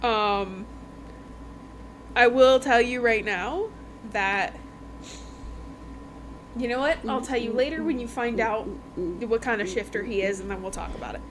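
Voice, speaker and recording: A woman's voice: fairly high pitch, a little slow, pauses often, background noise. A woman podcast host giving a casual, chatty book review in an informal, emphatic way, repeating phrases for effect.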